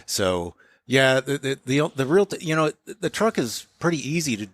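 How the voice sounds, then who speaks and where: A man explaining car work in a casual, matter-of-fact way as an interviewed shop owner, pausing and restarting as he goes. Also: mid-range voice, expressive, a bit bright, dry recording, studio quality.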